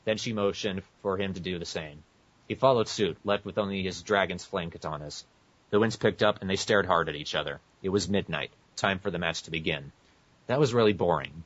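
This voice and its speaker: A man's voice: deep voice, fairly quick, a bit bright. A man co-hosting a podcast, reading fan fiction aloud with casual asides and often tripping over his words. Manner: stumbles over words and corrects himself. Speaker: podcast co-host. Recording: muffled, echoey lo-fi podcast audio.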